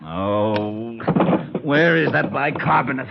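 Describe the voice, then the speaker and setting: An actor playing an exasperated, short-tempered police lieutenant who grumbles and blusters in 1940s radio style. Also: male voice, medium pitch, takes it slowly, creaky. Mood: fuming. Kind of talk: 1940s radio comedy-drama acting. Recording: dull vintage radio recording.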